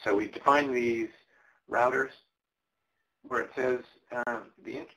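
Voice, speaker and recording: A man's voice: medium pitch, steady tone, unhurried, a bit bright, dull audio. A man giving a live coding walkthrough as a tech presenter, casual and explanatory with ums and uhs, and he is losing his voice. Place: conference presentation.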